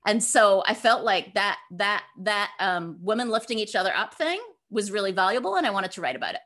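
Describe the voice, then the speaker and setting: A middle-aged woman giving conversational interview answers as a guest author, animated and given to acting out quotes. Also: medium pitch, fairly quick. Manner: animated, acts out imagined quotes.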